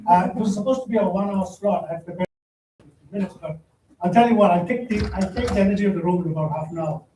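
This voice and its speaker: A man with a big, loud, high voice that still sounds dark, lecturing to a conference crowd and tossing in wry jokes. He is picked up from a distance in a roomy hall, with a faint hum of the room behind him. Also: fast talker. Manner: direct, joking asides to the audience. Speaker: keynote speaker. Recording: echoey hall, distant mic, faint noise.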